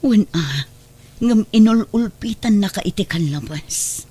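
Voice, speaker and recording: A woman's voice: medium pitch, lively intonation, measured pace, dark tone, breathy edge. An actress playing a long-wronged, forgiving mother in a radio drama, speaking emotionally to her son. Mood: tender, grateful. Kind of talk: Ilocano radio drama acting.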